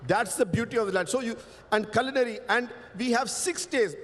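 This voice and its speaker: A man whose first language is Tamil speaks in a high, carrying voice on a festival panel. He talks with emphasis, poses rhetorical questions and stretches out key words. The sound rings with a long hall reverb. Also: brisk, a bit bright. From India. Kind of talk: panel talk.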